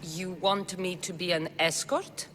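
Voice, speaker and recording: An actress playing a dry, stoic, tough Greek mercenary in a video game, deadpan and sardonic. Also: female voice, on the low side, a little slow, slight background noise. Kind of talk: video game character acting.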